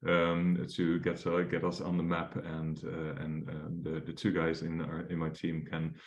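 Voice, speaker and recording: A man's voice: extremely low pitch, monotone, measured pace, reverberant. A young Dutch man presenting as a mortgage advisor in a webinar, casual and full of fillers and restarts. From Netherlands.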